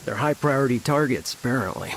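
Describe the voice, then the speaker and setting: A weary, gossipy foot soldier in a video game. His mid-pitched voice is musing and grudging, over faint rain and hiss. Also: expressive, a little fast, vocal fry, rough recording.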